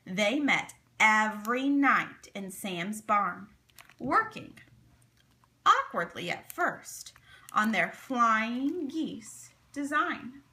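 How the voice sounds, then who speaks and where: A woman reading a children's story aloud in an expressive, deliberate, dramatic way. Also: a little high. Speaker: storybook reader.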